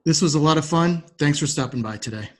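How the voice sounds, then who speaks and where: A man's voice: a little high, quick pace. A man hosting an interview podcast in a courteous, articulate way. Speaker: podcast host. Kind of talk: interview hosting with scripted sign-off.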